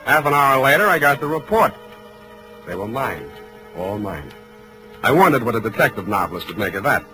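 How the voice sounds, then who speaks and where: Hard-boiled American private eye, dry and wry, vintage radio drama with clear musical backing. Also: male voice, mid-range voice, sing-song, normal speed, pushed and bright, faint music behind, rough recording. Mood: wry narration. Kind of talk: 1940s radio noir acting, first-person narration.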